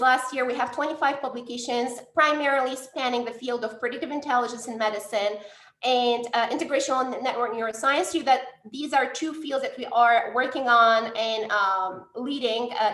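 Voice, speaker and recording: A woman's voice: fairly high pitch, a bit bright, reverberant. A woman from Tunisia, a lab director, giving a conference talk with frequent fillers and restarts. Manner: hesitant, many fillers and restarts. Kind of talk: conference presentation.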